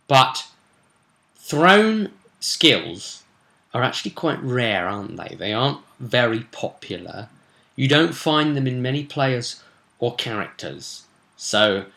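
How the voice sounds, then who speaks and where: British male, bright forceful voice, unhurried game master recap, chatty with playful asides. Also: medium pitch, creaky. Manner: chatty, digressive, with playful asides and emphatic bursts. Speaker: game master recapping a tabletop role-play session. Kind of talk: casual animated recap to camera.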